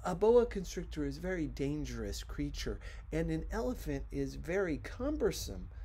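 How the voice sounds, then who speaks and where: A small, solemn storybook prince, played by a man, with a high, swooping voice. The little boy is polite yet demanding, and he states each wish with deliberate seriousness. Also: big pitch swings, dark tone, husky, full character voice. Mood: insistent.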